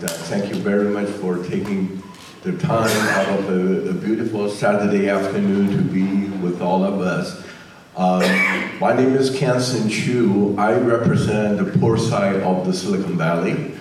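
A man in his sixties with a Taiwanese Mandarin accent, speaking haltingly as a panelist in a community hall, with many fillers and restarts. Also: fairly low pitch, darkish tone, slight background noise. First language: Mandarin Chinese. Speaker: panelist, state legislator. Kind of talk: panel remarks. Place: large community center hall, indoors. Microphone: handheld mic, filmed from a far camera. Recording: echoey hall from far off.

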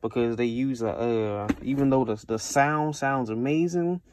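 A man's voice: mid-range voice, unhurried, darkish tone, a little hiss or hum. An American man giving a casual, unscripted product review in a conversational way, repeating himself for emphasis.

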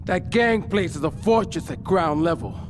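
Man acting a high-pitched, ranting, paranoid drug lord. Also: moderate pace, soft background music, lightly acted. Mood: scheming, commanding. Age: middle-aged. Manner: explosive, theatrical ranting. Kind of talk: video game cutscene acting.

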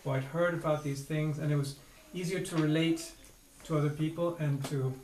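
A middle-aged man from Venezuela, explaining in a measured way with small restarts. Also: a little high, fairly even intonation, darkish tone. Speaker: interviewed parent. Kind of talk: casual explanation. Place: room with a wall map, indoors. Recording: echoey room, faint noise.

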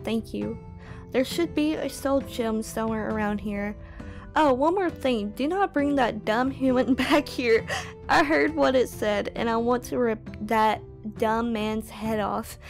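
Woman voicing a slow, wary wolf tribesman. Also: fairly high pitch, gravelly, faint music behind. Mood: protective.

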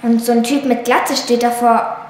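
A woman's voice: a little high, level tone, moderate pace, slight background noise, lightly acted. Woman as a feisty young space princess, echoey. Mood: bold, suspicious. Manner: feisty, impatient, cheeky. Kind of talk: German children's audio drama acting. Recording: very echoey, faint ship ambience.

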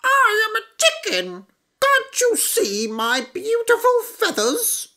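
Shrill vain chicken. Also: female voice, wildly swooping pitch, slow pace, sharp tone, full character voice. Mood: proud. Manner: shrill and squawking. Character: vain fussy female chicken.

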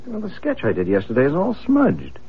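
An actor playing a skeptical, stubborn and exasperated husband in a radio drama. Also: male voice, a little high, wildly swooping pitch, a little fast, slightly rough, heavily acted. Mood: baffled. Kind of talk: 1970s radio drama acting. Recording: dull old radio broadcast with music.

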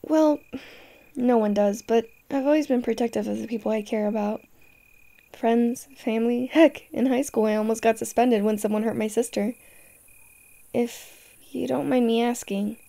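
Woman doing a tough protective girlfriend for an asmr roleplay, defiant but loving, kinda high voice, lots of fry. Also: steady tone, moderate pace, mellow. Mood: tender, protective. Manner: tough-talking, speaking directly to listener.

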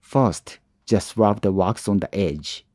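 A man with a lowish, mellow voice talks through a hand-tool woodworking project as a calm tutorial voice-over. His phrasing is plain and slightly non-native, and the sound is dry and close, with no room around it. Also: takes it slowly, no echo. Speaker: woodworking channel host.

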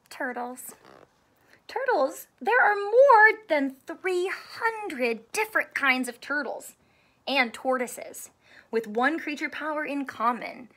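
A woman in her thirties with a high-pitched voice reads a picture book to young children. She takes it very slowly, stretching key words and putting questions to her listeners like an expressive teacher. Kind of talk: animated read-aloud of a children's book. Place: small indoor room with couch and stuffed toys.

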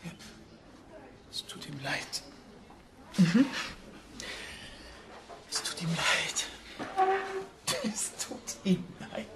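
An actress playing a brooding woman in a German radio crime drama, breathy and sometimes nearly whispering. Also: deep voice, lively intonation, very slow, long pauses, background noise, heavily acted. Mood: bitter.

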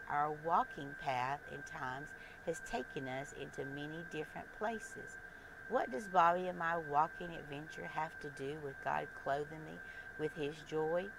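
An older woman earnestly reading a scripted devotional in a deliberate way. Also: low-pitched. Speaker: devotional host. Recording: clearly noisy recording with ambient sound.

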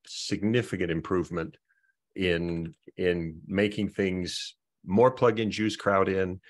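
Low-voiced man hosting a video meeting. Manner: halting, repeats words while thinking. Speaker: meeting host. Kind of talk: online meeting discussion.

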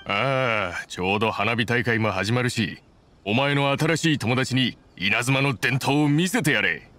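A man's voice: medium pitch, unhurried, pushed and bright, a little hiss or hum. A man voicing a loyal young boatbuilder in an anime game, speaking in a casual, blunt masculine way. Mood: friendly. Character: young boatbuilder, wary but loyal, easygoing friend.

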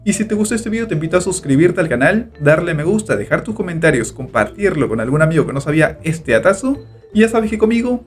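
Man, tutorial voice-over, slightly high voice, faint music underneath. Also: quick pace. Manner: steady instructional narration, repeats click steps. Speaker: tutorial host. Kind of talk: screen-recorded step-by-step tutorial. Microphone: voice-over over screen recording.

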